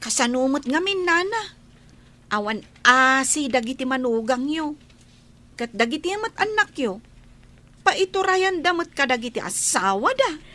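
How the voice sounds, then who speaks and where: A woman's voice: sits high, big pitch swings, moderate pace, pushed and bright, exaggerated voice. A woman with an Ilocano accent playing an earnest, plaintive young woman in a radio drama.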